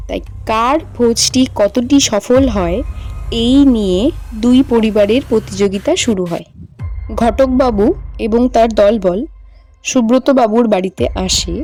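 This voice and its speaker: A woman's voice: on the high side, dark tone. A woman narrator reading a scripted children's story.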